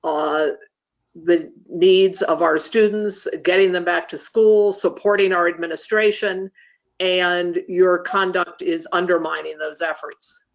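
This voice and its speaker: A woman's voice: on the low side, fairly even intonation, measured pace. A woman with an American accent moderating an online group discussion. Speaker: meeting moderator. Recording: dull, lo-fi meeting call audio.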